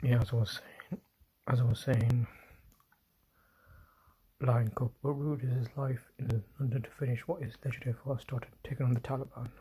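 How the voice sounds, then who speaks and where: A man hosting a solo livestream, talking low and often whispering, with restarts and apologies as he reads and comments. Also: flat delivery, normal speed, long pauses, warm tone, breathy edge, poor audio. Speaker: livestream host. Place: indoors at home, quiet room. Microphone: phone.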